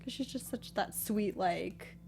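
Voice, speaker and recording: A woman's voice: medium pitch, unhurried, creaky. A woman chatting casually and agreeably as a co-host of a movie review show. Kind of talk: casual chat.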